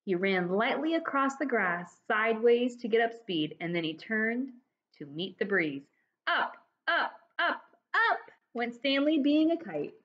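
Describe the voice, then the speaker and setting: A woman in her forties reads a children's book aloud with lively, swooping intonation. She explains things to young listeners as a teacher would. The sound is slightly dull, from a laptop mic in a room at home. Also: on the high side, measured pace. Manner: expressive, explanatory, addressing children directly. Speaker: teacher reading aloud to pupils. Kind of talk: children's storybook read-aloud. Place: dining room at home, indoors. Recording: dull lo-fi webcam audio.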